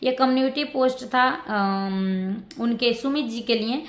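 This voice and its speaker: A woman giving casual reaction commentary to her viewers, rambling and halting as she circles back over her points. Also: on the high side. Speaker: vlogger. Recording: roomy echo with background noise.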